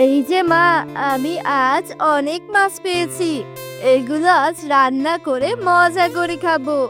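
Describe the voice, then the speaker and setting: A woman plays a poor village fisherman in a cartoon, fretful and plain-spoken. The voice is high, with a Bangladeshi accent and rustic exclamations, over loud music. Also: a little slow. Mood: pleased. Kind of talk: cartoon character dialogue.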